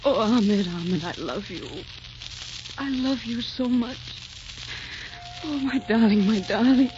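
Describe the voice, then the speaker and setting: A woman's voice: on the high side, slow. A proud, passionate young heroine in a 1940s radio romance. Her delivery is emotional and melodramatic, on a dull old recording with music behind. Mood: tender, loving.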